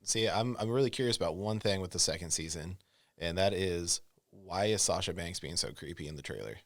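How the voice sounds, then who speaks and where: A man's voice: low-pitched, a little fast, no echo, studio quality. A man co-hosting a podcast, chatting casually in a laid-back, wry way. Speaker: podcast co-host. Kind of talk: casual chat.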